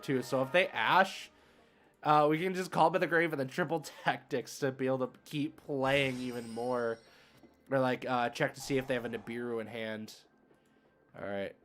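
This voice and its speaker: Lower-pitched man streaming casual game commentary. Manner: thinking aloud, informal gamer slang. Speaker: streamer hosting card game matches. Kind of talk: casual live gameplay commentary.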